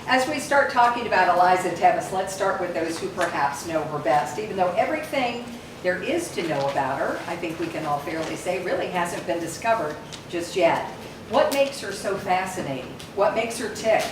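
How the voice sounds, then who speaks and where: A woman's voice: on the low side, lively intonation, moderate pace. A woman hosting a ceremony, introducing speakers in an upbeat, conversational way. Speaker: event host. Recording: echoey hall from far off, noisy.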